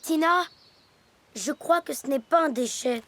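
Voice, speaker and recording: A high-pitched little cartoon boy, earnest and a touch self-important. He declares things like a young know-it-all, with music behind him. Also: measured pace. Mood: earnest, lecturing. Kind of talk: animated children's cartoon dubbing.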